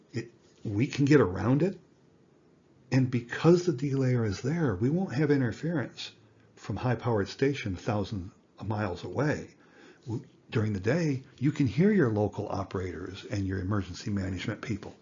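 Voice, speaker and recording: A man giving an informal explanatory lesson as an instructor, speaking deliberately and emphatically with rhetorical questions. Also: fairly low pitch, warm tone, breathy edge, highs cut off. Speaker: instructor, amateur radio teacher.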